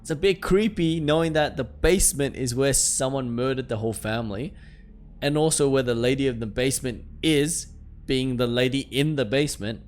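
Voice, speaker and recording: A man's voice: medium pitch, moderate pace, darkish tone, slight background noise. A man hosting a gameplay video, chatting casually with slangy asides and reading story text aloud, with game music behind him.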